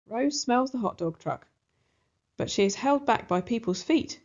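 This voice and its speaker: A woman narrating a children's storybook aloud in an expressive style. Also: fairly high pitch, unhurried, muffled recording.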